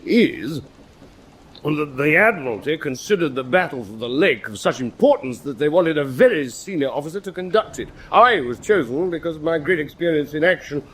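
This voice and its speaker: An actor playing a pompous, boastful middle-aged British naval commander in a radio drama, with theatrical, self-satisfied storytelling. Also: male voice, a little high, lively intonation, moderate pace, fairly bright tone, a little hiss or hum. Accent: British English.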